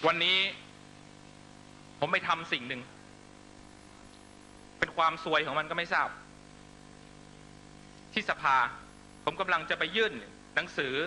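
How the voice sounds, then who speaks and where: A middle-aged man giving an impassioned political rally speech in emphatic, short measured phrases into a stage microphone. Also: fairly high pitch, pushed and bright. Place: large night-time outdoor rally stage. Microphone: stand microphone on stage. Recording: noisy live rally public-address broadcast with hum.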